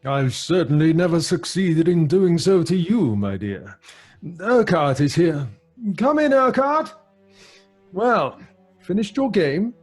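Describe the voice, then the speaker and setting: An actor with a British accent playing a middle-aged father who tells grim tales in a measured, genial way for an old-fashioned radio drama. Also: fairly high pitch, very animated, faint music behind. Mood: genial, teasing. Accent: British English.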